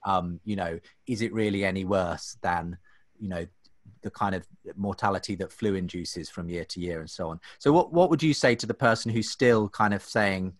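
A man in his thirties hosts a homemade talk show from his living room, speaking into a desk microphone. His voice sits a little low, and the sound is close and dry. He talks in a chatty way, full of fillers and restarts. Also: normal speed, dry recording. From England. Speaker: host. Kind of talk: casual podcast hosting. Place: home room. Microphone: desk microphone, webcam.

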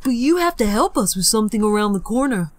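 A woman's voice: fairly high pitch, big pitch swings, fast, dry recording, voice pushed up. A woman voicing a bratty, mean seventh-grade boy in an audiobook, brash and mocking with slangy talk. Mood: sly.

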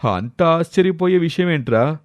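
A man's voice: a little high, expressive, normal speed, no room sound, muffled recording, pitched above the performer's own voice, lightly acted. Man playing a curious, bantering old friend. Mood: curious, amused. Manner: bantering, exclamatory. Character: curious, surprised older male friend of the grandfather. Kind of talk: dramatised moral story.

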